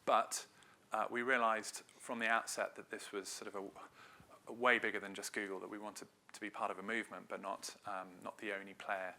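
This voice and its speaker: Low, flat-voiced man giving a talk. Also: moderate pace. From Wales. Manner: conversational, loose delivery with frequent hedges. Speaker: marketing director giving a talk. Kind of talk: conference presentation.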